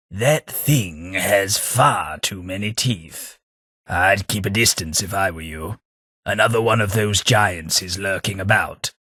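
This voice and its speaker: A man's voice: fairly low pitch, gravelly, bright, forceful tone, husky, no room sound, exaggerated voice. A man voicing a snobbish, sardonic male ghoul aristocrat with an English accent, delivering game companion lines in a prim, dry upper-class drawl. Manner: prim, snobbish, wryly sarcastic.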